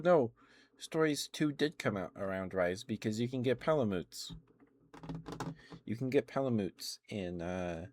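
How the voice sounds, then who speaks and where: A man livestreaming casual ASMR chat about games, talking in a rambling, halting way. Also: low voice.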